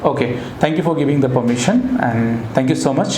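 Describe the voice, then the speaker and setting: A man's voice: medium pitch, fast talker. A man with an Indian accent conducting a clinical interview as a voice therapist. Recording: roomy echo, loud background noise.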